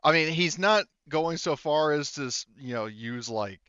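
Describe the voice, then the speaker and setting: Halting male game master, slightly muffled sound. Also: fairly high pitch, animated, sharp tone, clean tone, no room sound. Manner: halting, rambling, casual swearing. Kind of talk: improvised tabletop game narration, casual chat.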